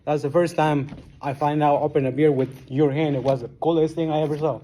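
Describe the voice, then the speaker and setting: Speaker at a recovery meeting: a Guatemalan-accented man in his forties, mellow tone, slight room hiss behind him. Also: a little high, steady tone. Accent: Guatemalan Spanish. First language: Spanish. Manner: halting, plain-spoken, restarts often. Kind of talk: personal recovery story.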